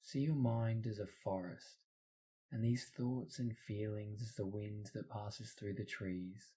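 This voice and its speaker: A man's voice: fairly low pitch, fairly even intonation, unhurried, dark tone. A man narrating a scripted guided meditation in a soothing, instructional manner.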